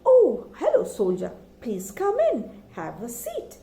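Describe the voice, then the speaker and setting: Woman as a high, swooping miserly old granny. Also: wildly swooping pitch, takes it slowly, gravelly, dark tone, roomy sound, heavily acted. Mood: welcoming. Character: miserly, lazy old granny, suspicious and surprised. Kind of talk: children's folktale storytelling.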